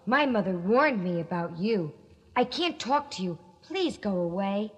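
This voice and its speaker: A woman's voice: mid-range voice, expressive, a little slow, breathy edge, pitched below the performer's own voice. A woman voicing a cheerful, trusting young girl with a sweet, innocent delivery. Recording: echoey recording.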